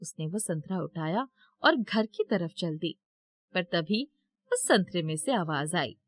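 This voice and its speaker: A woman narrates a scripted story as its storyteller. Her pitch drops lower than in the acted dialogue, though it remains a female narrator's register. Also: expressive, measured pace.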